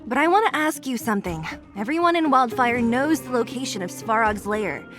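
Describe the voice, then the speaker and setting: A woman's voice: fairly high pitch, lively intonation, brisk, music in the background, natural voice. A woman playing a spirited young adventurer in a video game, casual and skeptical in her way of talking.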